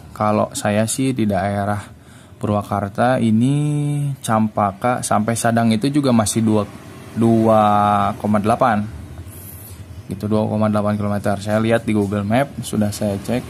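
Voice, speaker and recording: A man's voice: on the low side, fairly even intonation, measured pace, a little mellow, slight background noise. A man giving a casual, explanatory product demonstration as a reviewer.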